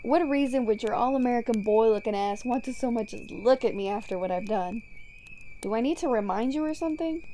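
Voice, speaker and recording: A sardonic female supervillain, acted by a woman in an audio roleplay, with a fairly high voice that stays soft and mellow. She taunts a hero in a teasing drawl, smug and casually foul-mouthed. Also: a little fast, slight background noise, lightly acted. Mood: taunting, incredulous. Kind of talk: ASMR roleplay audio drama monologue.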